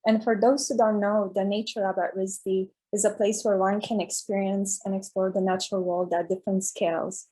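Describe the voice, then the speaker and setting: Female presenter, medium pitch, explanatory, slightly hesitant, video call. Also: little pitch movement, moderate pace, hardly any pauses, mellow, poor audio. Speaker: workshop presenter. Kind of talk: introducing a workshop.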